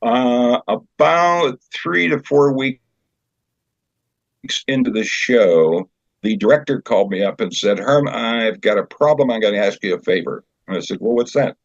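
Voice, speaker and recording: An older man chatting as an interview guest, telling anecdotes in a halting, conversational storyteller's way. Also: mid-range voice, no echo. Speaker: guest, community theater director and actor. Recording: slightly dull, dry recording.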